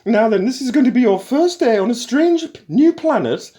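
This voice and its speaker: Nagging mother-hen ship computer, piercing and sing-song; male reader in falsetto. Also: expressive, normal speed. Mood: fussing. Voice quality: high matriarchal twang. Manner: scolding, loud, sing-song. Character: shrill motherly female ship computer, fussy and bossy. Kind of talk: audiobook character voice.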